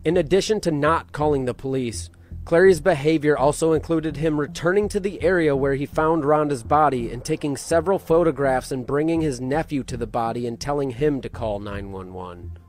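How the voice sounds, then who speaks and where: A man narrating a true-crime case in a steady, matter-of-fact way. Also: fairly high pitch, brisk, faint music behind.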